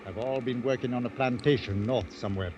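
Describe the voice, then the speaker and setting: A man acting a stolid, literal-minded ship's captain in a 1940s radio drama, with a pedantic, deliberate manner. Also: medium pitch, darkish tone, lo-fi sound, natural voice. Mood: matter-of-fact. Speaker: actor playing a ship's captain. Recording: old radio broadcast with background noise.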